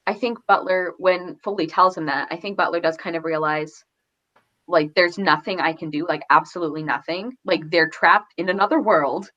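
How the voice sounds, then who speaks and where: A woman's voice: on the low side, fairly even intonation, moderate pace, a bit bright, highs cut off. A woman chatting casually about books in a rambling, conversational, giggly way. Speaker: book discussion host. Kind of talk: casual book discussion chat.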